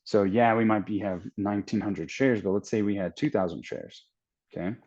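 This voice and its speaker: A man's voice: on the low side, normal speed, a little mellow. A man in his thirties hosting a trading channel, talking casually and thinking aloud with restarts as he works through numbers on screen. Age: 30s. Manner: rambling, thinking aloud with restarts while calculating. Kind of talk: casual screen-share walkthrough. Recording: dull lo-fi sound.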